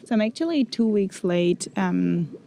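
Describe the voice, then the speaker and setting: Woman with creaky, mellow, casual voice. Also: medium pitch, expressive, a little hiss or hum. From Greece and Germany. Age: 40s. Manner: informal, halting word searches in non-native English. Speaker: freediving instructor and show host. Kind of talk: casual personal vlog talk.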